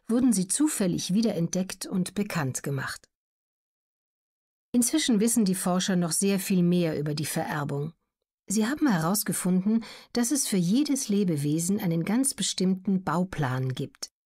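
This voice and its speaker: Low-pitched woman narrating a documentary audiobook. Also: a little mellow.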